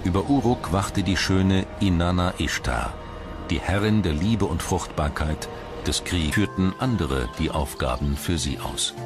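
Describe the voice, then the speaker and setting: A man reading scripted documentary narration in a measured, formal manner. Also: low voice, music in the background.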